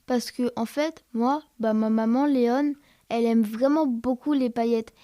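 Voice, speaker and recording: A woman's voice: fairly high pitch, fairly even intonation, measured pace, dark tone, dry recording, studio quality, lightly acted. A woman playing a cheerful, dreamy young schoolchild in an audio drama, with an eager, singsong childlike manner. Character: cheerful, chatty young schoolchild named Avi, dreamy and affectionate. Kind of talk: French audio fiction drama.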